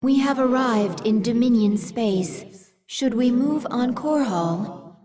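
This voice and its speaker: A woman's voice: a little high, slow, a crowd in the background. An actress playing an obedient, formal female alien attendant serving her queen in a video game. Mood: deferential. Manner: formal, deferential. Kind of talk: video game cinematic acting.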